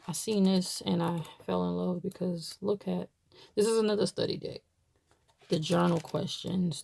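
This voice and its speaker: Woman from Baltimore, casual vlog chat, rough gravelly voice, faint hiss behind. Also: lowish voice, dark tone. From Baltimore, USA. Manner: chatty, rambling with many fillers; shows items to camera while talking. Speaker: tarot deck reviewer.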